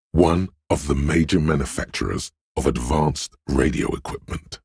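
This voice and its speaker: Super deep husky inner voice for a video game, slow deliberate phrasing, real dry sound. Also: male voice, dry recording, heavily acted. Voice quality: gravelly, husky. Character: deep, measured male inner-voice narrator, quietly intent. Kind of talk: scripted second-person game narration.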